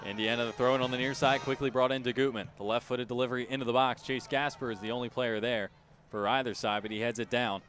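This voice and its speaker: A man's voice: mid-range voice, fairly even intonation, quick pace, a bit bright, a little hiss or hum. A man doing live radio play-by-play of a soccer match, describing each touch in clipped, urgent, emphatic phrases. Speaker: play-by-play announcer.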